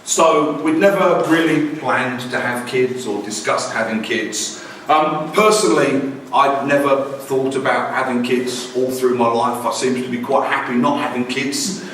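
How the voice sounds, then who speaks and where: A man's voice: mid-range voice, unhurried, fairly bright tone, a little hiss or hum. A man in his forties telling a wry, self-deprecating personal story about family life as a language teacher. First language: English. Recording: very echoey room, distant mic.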